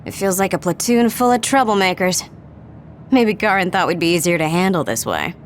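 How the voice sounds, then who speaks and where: Actress as a confident tough squadmate, lower pitch, lively wry delivery. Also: female voice, brisk, a little hiss or hum, close to an everyday voice.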